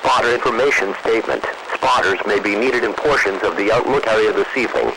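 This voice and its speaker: A man's voice: lowish voice, fast. Very noisy radio, American male weather announcer. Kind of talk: scripted weather bulletin reading. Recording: very noisy, static-filled weather radio reception.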